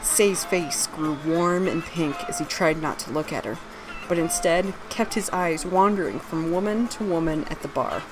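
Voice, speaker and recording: A woman's voice: low-pitched, normal speed, over music, poor audio. A woman reading her own fantasy story aloud, narrating scripted prose and its dialogue.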